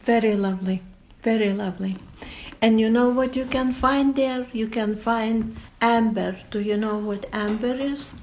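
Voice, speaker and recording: An older woman from Lithuania, a native Lithuanian speaker, explaining patiently in a slow, deliberate way during an oral history interview. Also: fairly high pitch, fairly even intonation, a little mellow, a little hiss or hum, poor audio.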